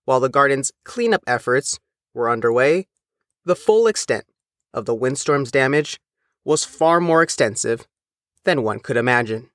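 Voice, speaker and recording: Guy reporter reading a news story, careful and measured, lots of pitch movement, very dry recording. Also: lively intonation, fairly bright tone, no echo. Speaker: reporter and narrator. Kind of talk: scripted news feature narration.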